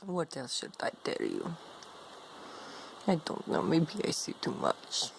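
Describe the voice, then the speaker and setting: An ancient vampire woman, role-played by a woman, low and heavy with vocal fry. She is condescending and seductive, with menace under every unhurried phrase. There is faint noise behind her. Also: huge pitch swings, full character voice. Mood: smug, teasing. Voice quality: gravelly, low, creaky. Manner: slow, haughty, teasing, calls listener darling. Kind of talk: improvised in-character role play monologue to viewer.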